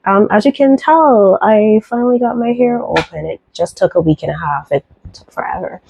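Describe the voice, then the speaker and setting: A woman from Barbados with a softened Barbadian accent, chatting casually through a soap-making tutorial with lots of fillers. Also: medium pitch, moderate pace, creaky, a little hiss or hum, poor audio. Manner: relaxed, chatty, many fillers, light laughs.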